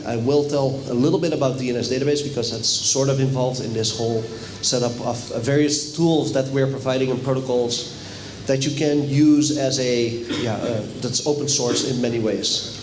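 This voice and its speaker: A man's voice: medium pitch, moderate pace, a little hiss or hum. A man whose first language is Dutch, giving a casual, chatty technical talk at a conference and putting questions to the audience. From Netherlands. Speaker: conference speaker. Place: hotel conference room.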